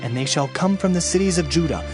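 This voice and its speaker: A man narrates scripture in a solemn, dramatized style. His voice sits fairly high and moves expressively in pitch, with deliberate, declamatory phrasing over background music. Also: lively intonation. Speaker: audio Bible narrator.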